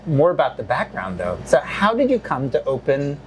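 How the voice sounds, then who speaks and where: A man in his thirties hosting a casual interview in an ice cream shop, upbeat, with many quick affirmations. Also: mid-range voice, huge pitch swings, slight background noise, poor audio. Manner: upbeat, with frequent short affirmations. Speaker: interviewer host. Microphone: lapel. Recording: music playing under the voice.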